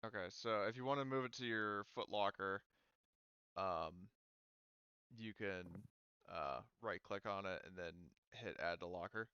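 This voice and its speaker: A man's voice: fairly low pitch, unhurried, pushed and bright, clean tone, no room sound, highs cut off. A man casually running a tabletop game as game master, patiently explaining steps to players.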